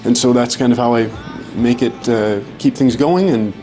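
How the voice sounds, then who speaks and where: A man in his twenties from Canada, introducing himself in a halting way with many fillers. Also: medium pitch, warm tone, soft background music. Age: 20s. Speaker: violinist. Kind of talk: casual self-introduction.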